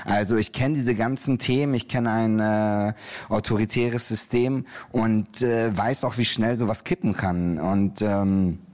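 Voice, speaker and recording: Hesitant, thoughtful man with a low voice. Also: steady tone, unhurried, a little mellow. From Iran. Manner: hesitant, thoughtful, many fillers. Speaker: graphic novel author, interview guest. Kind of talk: interview answers.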